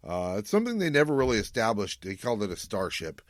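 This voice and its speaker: A man's voice: lowish voice, wildly swooping pitch, fast, no room sound, clean studio sound. A middle-aged man co-hosting a podcast, chatting casually as he recaps an episode. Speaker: podcast co-host.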